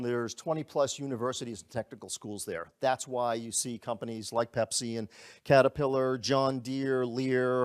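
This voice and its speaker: A man presents to a gathered group, selling a service with easy, upbeat enthusiasm. His pitch sits in an ordinary middle range, and he keeps the talk loose and conversational rather than scripted. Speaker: company presenter. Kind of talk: live sales presentation.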